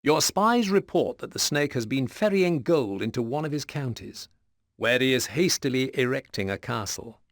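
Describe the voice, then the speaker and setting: A man's voice: medium pitch, expressive, moderate pace, dry recording, close to an everyday voice. A man narrating a military campaign in a video game, formal and solemn, with scripted drama. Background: loud guitar music.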